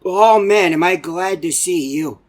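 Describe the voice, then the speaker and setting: A man voicing a chatty, excitable elderly animatronic in a video game, telling folksy, rambling stories in a husky old voice. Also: sits high, measured pace. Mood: excited reminiscing. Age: older. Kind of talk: rambling game character monologue.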